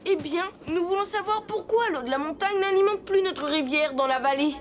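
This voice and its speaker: Very high, eager little boy. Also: normal speed. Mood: curious. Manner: eager, earnest, exclaiming. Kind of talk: cartoon voice acting.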